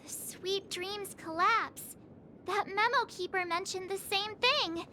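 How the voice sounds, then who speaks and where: A woman's voice: very high voice, takes it slowly, bright, forceful tone, slight background noise, full character voice. A woman voicing a small, childlike, wondering character in a video game. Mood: startled, wondering. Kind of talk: scripted video game voice acting. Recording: long reverb, faint music.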